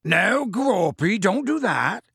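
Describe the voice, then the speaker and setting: Man performing a half-giant gamekeeper, storybook character, big and bumbling, hearty, folksy, coaxing, loud raised voice, dry sound. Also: high voice, big pitch swings, takes it slowly, creaky, breathy edge, dry recording, pitched above the performer's own voice, full character voice. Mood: exasperated shouting. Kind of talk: audiobook character voice.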